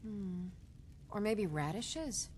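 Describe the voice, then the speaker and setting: A woman's voice: medium pitch, animated, slow pace, a crowd in the background. A woman playing a dutiful, earnest warrior servant in a fantasy video game, plain-spoken. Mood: absent-minded. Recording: clear music under the voice.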